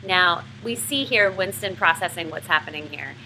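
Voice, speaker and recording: Bright-voiced woman teaching literature. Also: on the low side, expressive, normal speed, soft background music, rough recording. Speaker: writing and literature teacher, video host. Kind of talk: explanatory lesson with novel read-aloud.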